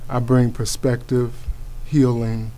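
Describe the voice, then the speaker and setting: A middle-aged or older man answering interview questions as a play's narrator, measured and earnest. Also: mid-range voice, level tone, dark tone, a little hiss or hum, low-quality recording. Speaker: actor interviewee, play narrator.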